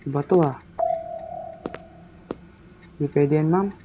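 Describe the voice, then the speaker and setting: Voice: medium pitch, measured pace, highs cut off, background noise. A gamer with a Filipino accent, casually commentating a horror game and teasing the characters in mixed Tagalog and English. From Philippines.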